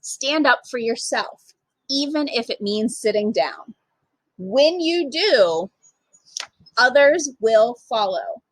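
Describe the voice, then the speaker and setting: Animated, high-pitched woman reading a storybook. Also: no room sound, low-quality recording. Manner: emphatic, with dramatic pauses and acted dialogue. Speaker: storytime reader, literacy program manager. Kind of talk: expressive picture-book read-aloud for children.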